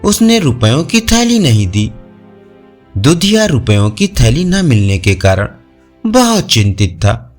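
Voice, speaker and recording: A man's voice: mid-range voice, big pitch swings, a little slow. A man narrating moral folk tales as a scripted storyteller. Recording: music under the voice. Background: faint music.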